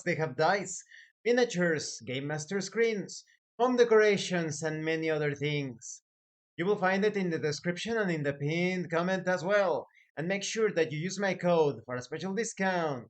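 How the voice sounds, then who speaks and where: A man hosting a hobby channel, talking casually and giving advice in a deliberate, emphatic way, repeating key phrases for stress. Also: high voice. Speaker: host of a tabletop roleplaying channel.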